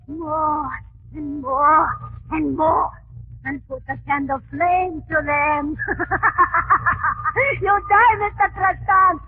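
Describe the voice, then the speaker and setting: A woman playing a fearful, fierce servant devoted to her master in a 1940s radio drama, with a foreign accent and broken, dramatic English. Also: extremely high pitch. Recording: tinny 1940s radio broadcast, music.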